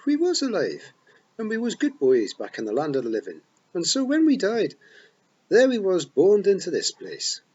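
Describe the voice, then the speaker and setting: A man voicing a boisterous tiny male pixie chief with a rough brogue, reading an audiobook. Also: high voice, wildly swooping pitch, moderate pace, muffled recording, voice pushed up, full character voice. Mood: earnest. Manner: loud, rough, gleeful brogue.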